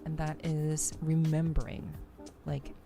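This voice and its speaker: A woman with a very low voice and a creaky edge talks casually to her stream. She is reflective and deliberate, repeating phrases for emphasis, with music playing behind her. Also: flat delivery. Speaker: streamer hosting a game playthrough. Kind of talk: reflective casual commentary to viewers.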